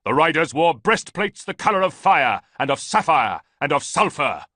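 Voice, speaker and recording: A man voicing a bearded, fanatical male priest who chants prophecy in a booming voice. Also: sing-song, moderate pace, bright, forceful tone, no room sound, clean studio sound, exaggerated voice. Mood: ranting.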